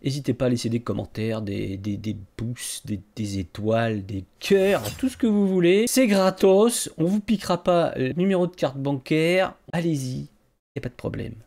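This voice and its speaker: A man's voice: medium pitch, expressive, moderate pace, darkish tone. A man hosting a podcast in a casual, colloquial way. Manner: informal, colloquial. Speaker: podcast host.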